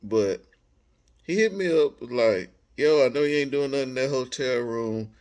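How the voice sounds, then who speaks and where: An American man telling a personal story casually, in animated, slangy speech, acting out bits of dialogue as he goes. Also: mid-range voice, moderate pace.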